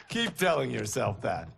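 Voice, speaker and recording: Cocky young martial artist, animated delivery. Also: male voice, mid-range voice, gravelly, background noise, exaggerated voice. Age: young adult. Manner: boastful, teasing. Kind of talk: video game cutscene acting. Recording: voice under loud music.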